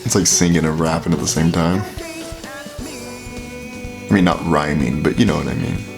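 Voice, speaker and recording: A man with a very deep voice giving casual, filler-heavy commentary while reacting to a song. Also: fairly even intonation, moderate pace, vocal fry. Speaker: reaction video host. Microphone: headphones with mic. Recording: music playing under the voice. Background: music playing.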